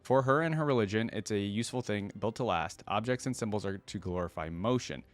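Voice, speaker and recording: Low-voiced man doing casual reaction commentary. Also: brisk. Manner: slangy, addresses viewers as guys. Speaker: reaction video host.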